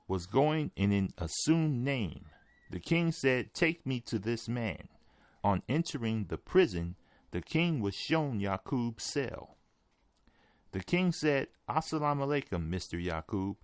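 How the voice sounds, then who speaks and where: A man with a noticeably creaky, gravelly voice narrates from a written text. His pitch sits in the middle range for a man, and the sound is dry and close, with no room around it. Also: no echo. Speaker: article reader. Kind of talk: scripted narration, reading an article aloud.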